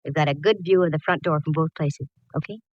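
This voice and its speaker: A woman's voice: deep voice, fast, dry recording. An American woman playing a wisecracking, confident private eye in a vintage radio detective drama, narrating and giving orders with wry ease. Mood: wry, brisk. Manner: breezy, wry, commanding. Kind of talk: 1940s-50s radio detective acting and narration. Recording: muffled, noisy old radio recording.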